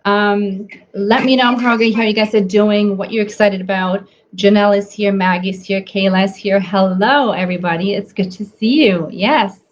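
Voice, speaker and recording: A woman's voice: medium pitch, fairly even intonation, normal speed, hardly any pauses. A woman, a nutrition coach talking casually on a livestream, full of ums and restarts. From Germany. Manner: chatty, many ums and restarts. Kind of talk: casual live stream teaching. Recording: slightly dull audio with faint noise.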